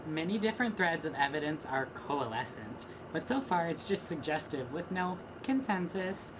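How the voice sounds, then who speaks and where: A woman's voice: deep voice, big pitch swings, moderate pace, background noise. A young woman doing a dramatic reading of rap lyrics as a student project, trading rhythmic lines with a partner. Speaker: student reader.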